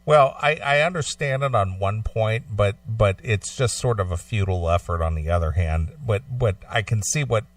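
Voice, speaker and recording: A man with a fairly low voice talks as a radio co-host. He is measured and agreeable, keeping the discussion casual. The sound is dry and slightly off the mic. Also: no room sound, far from the mic. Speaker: talk show co-host.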